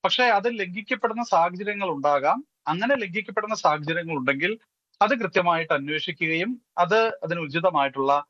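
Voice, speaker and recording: A man speaking as a priest on a debate panel, explaining in a formal, deliberate, emphatic way. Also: high-pitched, lively intonation, sharp tone, no echo, highs cut off.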